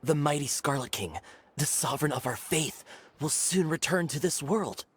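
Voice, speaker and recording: An actor voicing a fervent, superstitious, easily cowed desert villager in a video game. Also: male voice, fairly high pitch, expressive, moderate pace, slightly rough. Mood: conspiratorial, fervent.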